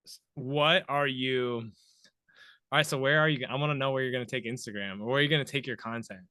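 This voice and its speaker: A man's voice: medium pitch, steady tone, fairly quick, pushed and bright, dry recording. A man in his thirties hosting a podcast, chatting informally and thinking aloud as he goes. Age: 30s. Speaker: podcast host. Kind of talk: casual conversational interviewing.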